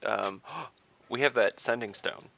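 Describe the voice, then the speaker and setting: A man's voice: lowish voice, fairly even intonation, takes it slowly, gravelly, bright, forceful tone. A man chatting casually as a player in an online tabletop game. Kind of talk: casual chat. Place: online voice call. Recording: dull lo-fi online call, keyboard typing.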